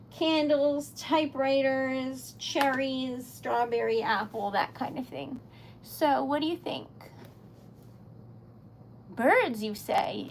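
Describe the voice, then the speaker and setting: A woman's voice: sits high, a little hiss or hum. A woman with a Rhode Island accent hosting a casual craft livestream, chatting to her viewers, laughing often and rambling as she goes. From New England. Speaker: livestream host. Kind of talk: casual chat while crafting.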